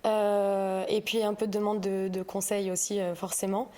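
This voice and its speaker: A woman speaking casually as a podcast guest, pausing often as she tells about her work. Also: medium pitch, flat delivery, dark tone. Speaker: podcast guest, audiovisual sustainability consultant. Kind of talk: casual interview storytelling.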